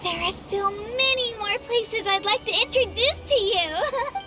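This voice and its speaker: Squeaky, tiny, bubbly fairy creature. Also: female voice, a little slow. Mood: grateful, cheerful. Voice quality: squeaky, childlike. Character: small cheerful childlike fairy companion and creature guide. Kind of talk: anime game voice acting. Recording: music behind the voice.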